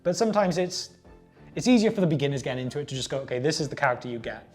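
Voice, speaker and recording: Guy co-hosting a gaming chat, slightly high voice, reads rules out sometimes, bit of music under it. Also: lively intonation, racing, darkish tone. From Wales. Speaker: co-host of a tabletop wargaming show. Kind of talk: casual chat with some reading out of game rules.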